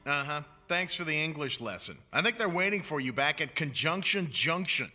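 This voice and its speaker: A man voicing a grumpy, self-centred cartoon cat sidekick with deadpan, wisecracking delivery. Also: on the high side, normal speed, faint music behind. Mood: sarcastic, impatient. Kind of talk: animated cartoon acting.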